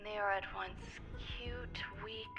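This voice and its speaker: A woman playing a detached scientist in a video game, speaking in a measured, clinical, formal way. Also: medium pitch, steady tone, over music. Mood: detached, fascinated. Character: detached female scientist studying her own creations. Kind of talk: scripted video game voice acting.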